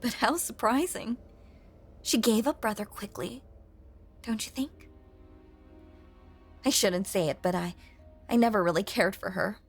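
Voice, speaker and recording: A woman playing a lonely, possessive female ghost in an intimate audio drama monologue, hushed and coaxing, with genteel old-fashioned phrasing and a breathy voice that often sinks toward a whisper. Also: mid-range voice, measured pace, long pauses, soft background music. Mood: quietly resentful, possessive.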